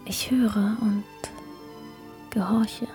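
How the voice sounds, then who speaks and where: Slow, mellow, half-whispering maiden. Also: female voice, mid-range voice, steady tone, faint music behind. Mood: submissive.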